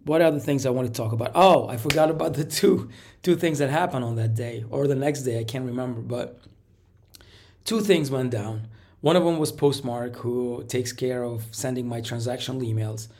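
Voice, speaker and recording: A man with a raspy voice from a cold, coughing now and then, giving a rambling casual update to his viewers. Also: mid-range voice, mellow. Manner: rambling, many fillers and restarts. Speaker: startup founder.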